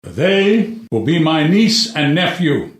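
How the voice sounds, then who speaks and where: An actor playing a proud, solemn older king in a stage play reading, speaking in deliberate oratory with weighty pauses. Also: on the high side, big pitch swings. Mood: regal, resolute. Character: proud, solemn older king justifying his decrees to vassals. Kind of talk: dramatic reading of a classic verse stage play. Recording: echoey room.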